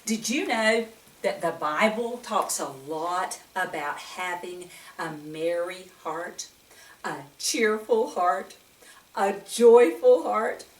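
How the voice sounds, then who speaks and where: An older woman talking to young children as a storytime reader, in a gentle, teacherly manner full of questions to the listener. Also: medium pitch, lively intonation, slow pace. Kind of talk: storytime talk to young children with Bible lesson. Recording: roomy echo, distant microphone.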